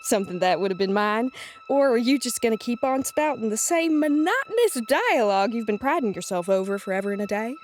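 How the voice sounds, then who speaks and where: A woman's voice: on the high side, very animated, brisk, slight background noise. A woman playing a sardonic, resentful rival in a scripted audio drama, theatrical and sarcastic. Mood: mocking, bitter.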